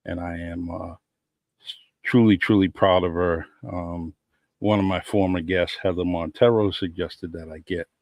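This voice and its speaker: A man hosting a podcast, rambling and repeating his words, with many pauses to say "um.". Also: deep voice, a little mellow, dry recording. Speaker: podcast host. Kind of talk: casual interview chat.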